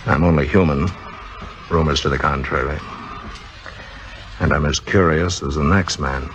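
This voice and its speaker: A man's voice: very deep voice, steady tone, normal speed. An actor playing a blunt, loyal man in love, plainspoken and wry, in a 1950s radio drama. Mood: wry, firm. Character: blunt, loyal leading man, Broadway star's lover. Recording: dull, lo-fi old radio recording.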